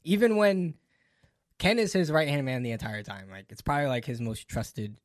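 Man, casual chat, animated scene recaps with laughter, dry close sound. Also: medium pitch, normal speed, dry recording. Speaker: film discussion co-host. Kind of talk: casual film discussion.

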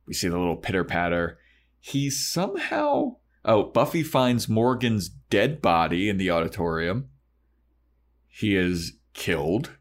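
A man with a somewhat low voice talks as a podcast co-host. He retells events casually, leaning on emphatic words as he goes. The delivery is informal and conversational. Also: very animated, measured pace. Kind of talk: casual episode recap.

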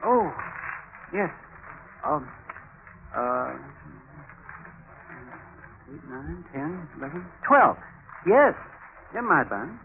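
An actor playing a meek, bumbling, easily flustered German husband in old-time radio comedy-drama, hesitant and apologetic. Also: male voice, fairly high pitch, big pitch swings, drawn-out words, pauses often, fairly bright tone, full character voice. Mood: nervous. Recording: muffled, noisy old radio recording.

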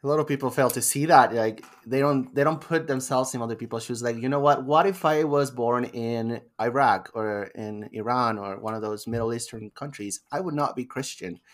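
Male host, mid-range voice, easygoing and agreeable, quick to affirm. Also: mid-range voice. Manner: agreeable, conversational, frequent affirming interjections. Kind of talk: casual interview.

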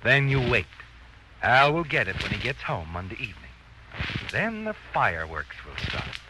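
Man acting a sardonic narrator, wildly animated. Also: medium pitch, moderate pace, noisy background, natural voice. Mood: sardonic, ominous. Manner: knowing, sardonic, suspenseful. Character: mocking, ominous all-knowing narrator taunting the plotter. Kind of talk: 1940s radio thriller narration in second person. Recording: old radio recording under dramatic music.

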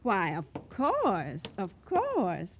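A woman's voice: medium pitch, wildly swooping pitch, extremely slow, lo-fi sound, background noise, exaggerated voice. An actress playing a worried, dignified middle-aged mother in old radio drama, speaking with polite earnestness. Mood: anxious, earnest. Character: anxious, dignified, devoted mother of a grown son.

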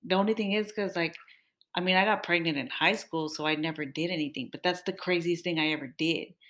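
A woman's voice: fairly low pitch, brisk, a bit bright. A young woman in casual chat with her partner on a podcast, talking freely, laughing often and complaining playfully. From Mexican-American family. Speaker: podcast co-host.

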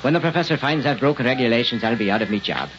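An actor playing a fretful, gruff but soft-hearted old night watchman in a radio drama, speaking in short clipped phrases. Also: medium pitch, expressive, rapid-fire, natural voice. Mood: anxious. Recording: muffled, noisy old radio recording.

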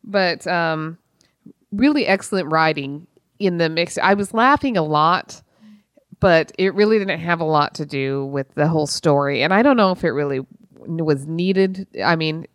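A woman with an American accent chats as a podcast co-host in a slightly low voice. She is informal and opinionated, with a casual, mildly sweary way of talking. The recording is dry and close. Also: moderate pace, no echo. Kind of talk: casual book club chat.

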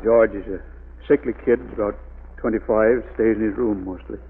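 An actor playing a loyal, reluctant caretaker with a rustic, hesitant way of talking, in a 1940s radio drama. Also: male voice, on the low side, steady tone, normal speed, breathy edge. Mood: wary, plain. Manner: rustic, plain-spoken, hesitant drawl. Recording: tinny old radio recording.

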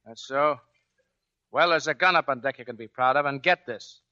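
An actor playing a tough, commanding American Navy chief in a 1940s radio drama, with gruff, slangy straight talk. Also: male voice, medium pitch, moderate pace, sharp tone, lightly acted. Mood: brisk, commanding. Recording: dull, band-limited 1940s radio recording.

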